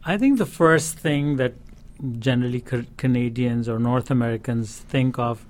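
A man with a Pakistani accent answering interview questions as a studio guest, explaining things in a halting, thoughtful way. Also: medium pitch. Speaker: studio guest, sitar player. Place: radio studio. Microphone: studio broadcast microphone.

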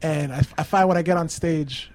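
A soft-spoken man in his thirties, a musician guest, talking with hesitant restarts in a casual interview. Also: on the high side, normal speed, no room sound.

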